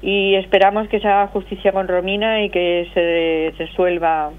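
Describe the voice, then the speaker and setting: A middle-aged woman from Madrid, with a Madrid accent, talks at length and with many pauses as a phone guest on a radio interview. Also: mid-range voice, little pitch movement. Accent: Madrid Spanish. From Madrid, Spain. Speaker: association spokesperson, phone guest. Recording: tinny phone line.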